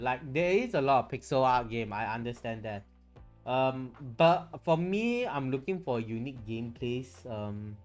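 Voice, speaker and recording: Rambling Malaysian streamer over background music. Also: medium pitch. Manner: rambling, repeats and restarts phrases. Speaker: livestreamer. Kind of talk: casual livestream chat. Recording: music playing behind the voice.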